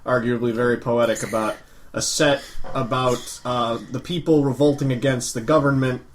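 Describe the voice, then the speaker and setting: A man's voice: mid-range voice, fairly even intonation, normal speed, a little hiss or hum. A man hosting a casual group podcast, moving the talk along and explaining as he goes. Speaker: podcast host moderating topics.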